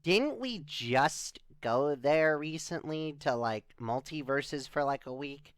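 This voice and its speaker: A man playing a skeptical, dry-humored spaceship crewmate in improvised, conversational sci-fi roleplay, full of deadpan questions. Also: a little high, slow, clean tone, dry recording. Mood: skeptical, questioning.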